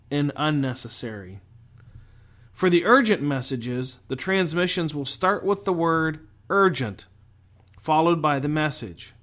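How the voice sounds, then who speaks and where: A man delivering scripted instructional narration as a show host, speaking deliberately with clear enunciation and emphatic phrasing. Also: a little high, a bit bright. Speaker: host of a firefighter safety show.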